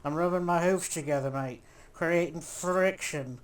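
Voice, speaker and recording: A woman's voice: fairly low pitch, a little slow, slight background noise, natural voice. A woman voicing a breezy zebra puppet, in a comedic puppet show. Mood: breezy, matey.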